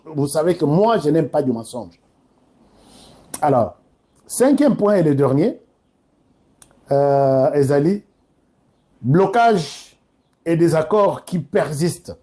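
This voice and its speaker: A man's voice: on the high side, dark tone. A man from DR Congo with a Congolese accent, giving informal, emphatic political commentary that addresses listeners directly and switches between two languages.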